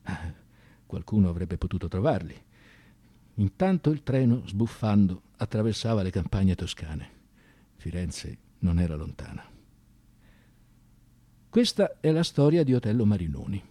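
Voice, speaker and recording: Guy narrating a radio play, intense and theatrical, pitch all over the place, super dry studio sound. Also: sing-song, mellow, dry recording. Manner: intense, emphatic, with deliberate dramatic pauses. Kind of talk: dramatic scripted storytelling, theatrical radio narration.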